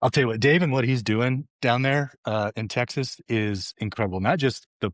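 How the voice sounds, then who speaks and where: A middle-aged man speaks as a podcast guest, modest and a bit hesitant, sometimes starting a sentence over. His pitch sits in an ordinary middle range. The sound is close and dry. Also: moderate pace, no room sound. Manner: modest, a little hesitant, with restarts. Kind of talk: casual podcast chat.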